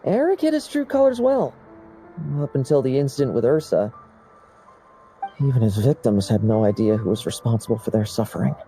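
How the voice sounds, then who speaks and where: A woman's voice: very deep voice, very animated, fairly quick, dark tone, faint music behind, heavily acted. An actress playing a wry young knight woman in a game, delivering dry, teasing quips. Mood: reassuring. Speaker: game character.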